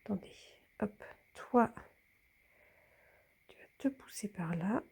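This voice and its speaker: A woman's voice: mid-range voice, expressive, slow, gravelly, mellow, breathy edge. A woman with a slight cold talking casually while she handles a hanging plant and films it, often dropping into a whisper. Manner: talks while filming, drops to whispers. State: a bit congested with a cold. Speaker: plant vlogger. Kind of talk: casual hands-on narration.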